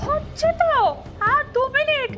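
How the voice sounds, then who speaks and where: A woman's voice: very high voice, steady tone, a little slow, lo-fi sound. A woman playing a greedy, haughty, scheming wife in a melodramatic cartoon dub. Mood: impatient, alarmed. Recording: music behind the voice.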